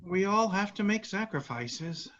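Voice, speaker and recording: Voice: mid-range voice, animated, a little slow, a bit bright. An actor playing a cautious, dutiful, anxious big brother in a staged fantasy play reading. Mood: resigned, earnest. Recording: echoey room, dull lo-fi sound.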